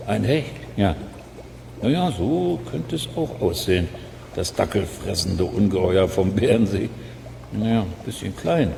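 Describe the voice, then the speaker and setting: A man's voice: on the low side, expressive, measured pace, creaky, dark tone, exaggerated voice. A man in a children's radio play playing a curious, chatty amateur monster hunter who muses aloud in hesitant asides. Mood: curious, musing. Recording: noisy cassette transfer.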